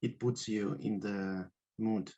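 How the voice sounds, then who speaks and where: A male podcast host with a very low voice, asking questions in halting, non-native phrasing. His audio sounds dull and lo-fi, like a remote call. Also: fairly even intonation, mellow. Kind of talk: interview questions.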